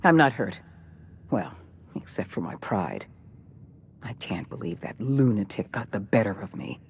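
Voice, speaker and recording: An actor playing a self-important corporate board leader in a video game, speaking with formal, grave authority. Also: male voice, lowish voice, sing-song, measured pace, slight background noise. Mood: relieved, haughty. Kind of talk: dramatic video game acting.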